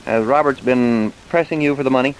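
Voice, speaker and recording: Man playing a probing, medium-pitched detective. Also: a little fast, slight background noise, lightly acted, low-quality recording. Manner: direct, interrogating, emphatic. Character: probing, businesslike police detective, baffled by his case. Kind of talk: old-time radio drama acting.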